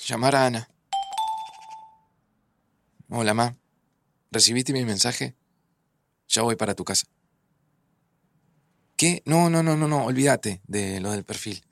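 A man's voice: medium pitch, slow, vocal fry, pauses often, fairly bright tone. An actor with an Argentine accent playing a caring, earnest man in a naturalistic audio drama. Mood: tender, flustered. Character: caring, earnest man, old friend of a time traveller. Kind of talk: naturalistic audio fiction acting. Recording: music under the voice.

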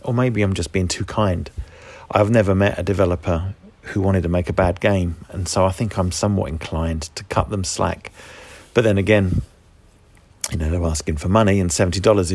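A man hosting a podcast, talking casually about video games in a measured, thoughtful way. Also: deep voice, creaky, darkish tone, no room sound. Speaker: podcast host. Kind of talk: casual video game discussion.

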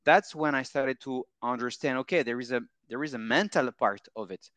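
A man's voice: medium pitch, normal speed, a bit bright, no echo. A French man in his thirties, a mental coach, answering interview questions with frequent fillers and repeated phrases. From France. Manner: hesitant, repeats words and phrases, many fillers.

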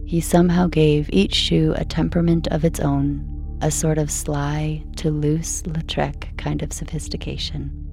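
A woman calmly reading a scripted bedtime narration in a hushed, measured and soothing way. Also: deep voice, creaky, soft background music. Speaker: narrator of a sleep podcast.

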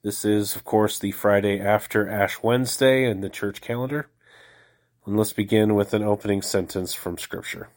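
A man with a slightly low voice leads a daily prayer service, reading the liturgy in a calm, devotional way with slow pauses. He sounds stuffy from a head cold. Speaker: podcast host leading daily prayer.

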